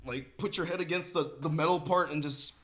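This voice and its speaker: A man co-hosting a podcast, telling a story to friends in casual, animated, emphatic slang with plenty of swearing. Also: a little high, brisk.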